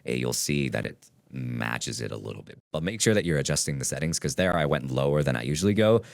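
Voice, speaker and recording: A man speaking as a deep male voice through an AI voice changer in a casual software tutorial. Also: lively intonation, fast talker, creaky, dry recording, studio quality, full character voice. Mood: explanatory, casual.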